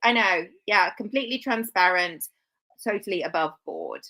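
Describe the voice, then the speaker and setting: A woman's voice: on the low side, huge pitch swings, moderate pace, pushed and bright. A woman hosting a live stream, talking casually with dry sarcastic asides and rambling self-corrections.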